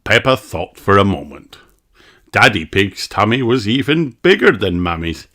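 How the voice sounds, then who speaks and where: Slow, very animated male storyteller. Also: lowish voice. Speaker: storybook reader. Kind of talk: expressive children's story read-aloud.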